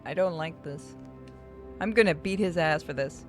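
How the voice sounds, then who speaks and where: Streamer host, middle-pitched, informal gameplay chat, light game music in the background. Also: moderate pace. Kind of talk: casual chat over gameplay. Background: faint video game music.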